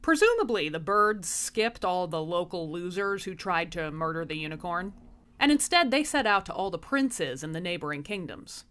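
Woman in her thirties, comic fairy tale narrator, wry, irreverent, very animated pitch. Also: moderate pace. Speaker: storyteller. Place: small room indoors. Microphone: small handheld lavalier microphone.

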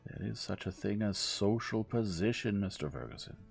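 A man's voice: on the low side, normal speed, vocal fry, soft background music, voice pushed up. A man voicing a rich, snobbish old woman in an audiobook, icy and biting in manner. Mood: biting disdain. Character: haughty, venomous, wealthy old woman, frigid snob.

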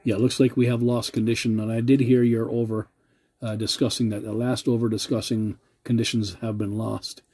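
A man's voice: mid-range voice, level tone, normal speed, darkish tone, slightly rough. A man making a CB radio contact, polite and using radio procedure words. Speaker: CB radio operator.

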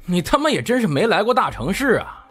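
A man's voice: high-pitched, very animated, brisk, fairly bright tone, slightly rough, soft background music, voice pushed up, heavily acted. A man voicing an arrogant, foul-mouthed thug boss who sneers and barks orders in an audiobook. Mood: sneering menace. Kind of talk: dramatised audiobook character acting.

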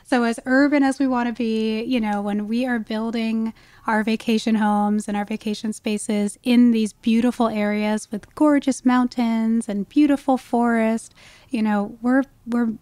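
A woman's voice: fairly high pitch, steady tone, measured pace, mellow, no room sound. A woman speaking as an expert guest in a conversational podcast interview, explaining things with emphasis. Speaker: guest, wildlife ecologist.

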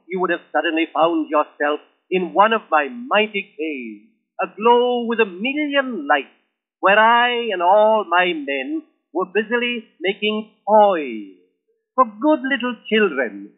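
Man playing jolly old Santa Claus, slow booming bedtime storyteller, high-pitched voice, tinny old record. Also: heavily acted. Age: older. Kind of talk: old phonograph recitation with animal imitations. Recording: tinny early phonograph record.

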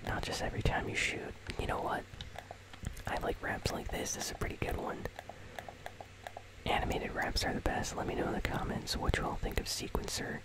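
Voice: a little fast, low-quality recording. Whispering ASMR gaming host. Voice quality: breathy, unvoiced whisper. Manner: casual, conversational. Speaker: host of a gaming news channel. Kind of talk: whispered ASMR game commentary.

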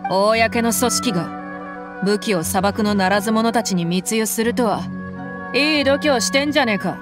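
A woman voicing a tough, blunt female desert mercenary in a game dub, talking in a rough, commanding way over loud background music. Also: fairly high pitch, normal speed, close to an everyday voice. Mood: stern.

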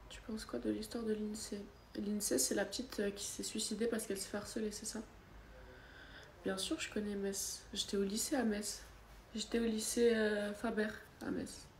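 A woman's voice: medium pitch, flat delivery, normal speed, a little mellow. Young woman with a cold, casual phone livestream from a sofa, roomy echo, faint background hiss. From France. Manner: chatty, reads and answers comments aloud. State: has a cold. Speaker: livestreamer answering viewer comments. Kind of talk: casual live chat. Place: living room, seated on a sofa, indoors. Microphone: phone camera at arm's length. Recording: echoey room with faint background noise.

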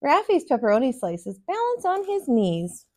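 An American woman in her thirties, a teacher reading a picture book aloud to children in an expressive, sing-song way. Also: high voice, moderate pace. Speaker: kindergarten teacher, storyteller. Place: small furnished room, indoors.

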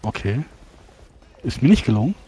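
A man's voice: medium pitch, big pitch swings, normal speed, warm tone, slight background noise. A man casually narrating his actions as a player in a tabletop roleplaying game.

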